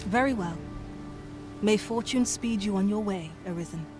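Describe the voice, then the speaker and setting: A woman playing a solemn otherworldly guide in a fantasy game, speaking archaic English in a formal, measured, deferential way. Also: medium pitch, music in the background, natural voice. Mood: solemn, courteous. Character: otherworldly female Pawn, solemn, dutiful servant guide.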